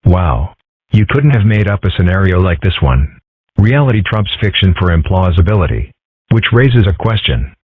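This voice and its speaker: A man voicing a calm, philosophical young fox boy who muses dreamily in an amateur comic dub. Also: sits low, moderate pace, breathy edge, no echo, exaggerated voice. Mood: musing. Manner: dreamy, musing. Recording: dry, phone-like narrow sound.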